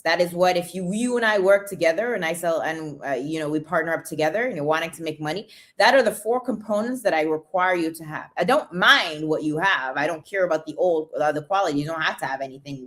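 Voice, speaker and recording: A woman's voice: deep voice, fast talker, few pauses. Motivational woman greeting livestream viewers. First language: not English. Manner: energetic, greets viewers by name, lots of "right?". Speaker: livestream host, supplement seller.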